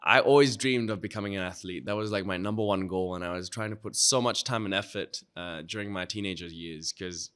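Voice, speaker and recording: A man's voice: low voice, brisk, few pauses, smooth. A young man from Thailand, a guest in an interview, answering casually and often saying "you know" and "like". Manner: relaxed, frequent "you know" and "like".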